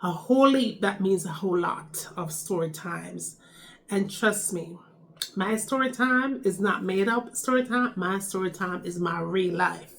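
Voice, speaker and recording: A woman's voice: mid-range voice, a little slow, gravelly, fairly bright tone. Chatty Jamaican woman telling a story. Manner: chatty, repeats phrases, frequent "okay?" tags. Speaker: vlogger. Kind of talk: casual storytime chat.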